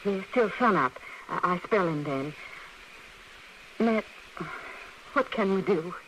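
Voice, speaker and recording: An actress playing an anxious, guilt-ridden frontier woman in an old radio western, halting and repeating words. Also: on the low side, expressive, a bit bright. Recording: faintly noisy vintage radio recording.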